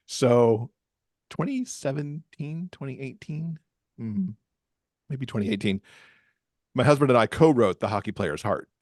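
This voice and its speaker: A man's voice: mid-range voice, animated, measured pace, pauses often, no room sound, studio quality. An American man from Michigan speaking casually as an interview guest, explaining things in a chatty way. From Michigan, USA. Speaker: podcast guest, romance author.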